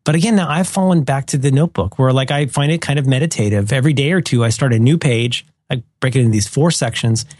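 A slightly hoarse man co-hosting a podcast, chatting casually in a rambling, self-deprecating way. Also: medium pitch, fast, few pauses, a little mellow, dry recording, studio quality. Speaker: podcast co-host. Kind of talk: casual chat.

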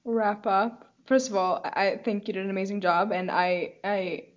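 A woman's voice: medium pitch, steady tone, moderate pace, dull audio. A young woman hosting a casual podcast interview, effusive and full of fillers. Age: young adult. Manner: warm, effusive, many fillers. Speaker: podcast host.

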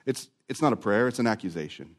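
A man's voice: fairly low pitch, expressive. A male pastor preaching a sermon, dropping to a near whisper and then rising again, stretching out key words. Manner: dramatic swings from loud to hushed, drawn-out words.

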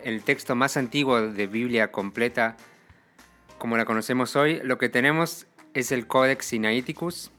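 A man's voice: mid-range voice, bright, forceful tone. A man hosting a show, giving a friendly, teacherly explanation in a scripted monologue. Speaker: podcast host.

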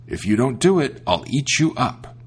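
A man's voice: medium pitch, big pitch swings, moderate pace, husky. A man voicing a cunning wolf villain in a fairy tale, sly and coaxing. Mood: menacing.

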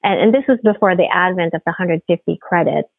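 A middle-aged woman from the Philippines, a company head, talking haltingly in a podcast interview with many fillers and restarts. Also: on the low side, creaky, no echo. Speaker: guest, firm CEO. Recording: tinny phone-like line.

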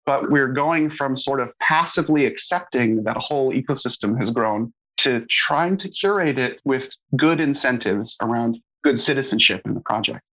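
Man, casual panel speaker, bright tone, explanatory. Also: mid-range voice, moderate pace, hardly any pauses, low-quality recording. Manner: casual, explanatory, frequent fillers. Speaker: open source association staff member. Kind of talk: conversational panel talk.

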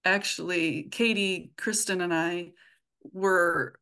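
Chatty woman with a lower-pitched voice. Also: steady tone, takes it slowly, pushed and bright, clear, resonant tone. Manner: informal, chatty. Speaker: podcast creator, interview guest. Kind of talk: casual interview chat.

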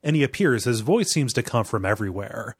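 A man's voice: medium pitch, lively intonation, brisk, slightly rough, dry recording, clean studio sound. A man co-hosting a podcast, casually retelling and discussing a film, acting out paraphrased lines in conversation. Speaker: podcast co-host.

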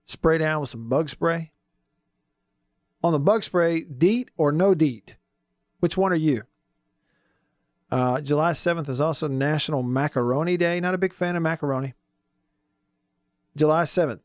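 Hoarse, chatty male radio host. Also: a little high, measured pace, pauses often, no echo, studio quality. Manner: chatty, jokey, lots of fillers. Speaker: radio talk show host. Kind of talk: casual sports radio talk. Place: radio studio.

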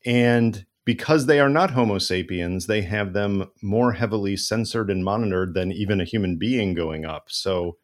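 A man's voice: fairly low pitch, normal speed, few pauses. A man hosting a podcast, interviewing in a conversational style.

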